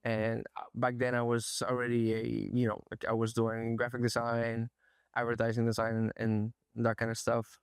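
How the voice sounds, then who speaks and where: A young man from Spain, an artist being interviewed, speaking in a soft, thoughtful way with many pauses and fillers. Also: mid-range voice, monotone, gravelly, smooth, no room sound. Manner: soft-spoken, thoughtful, hesitant, frequent fillers. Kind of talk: casual interview. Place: art gallery.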